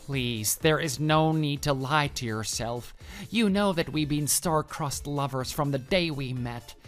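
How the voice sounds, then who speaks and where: A man's voice: fairly high pitch, measured pace, faint music behind, close to an everyday voice. An actor playing a deluded, pushy male office coworker in love, making florid, earnest declarations in a scripted online drama. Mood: grandiose pleading.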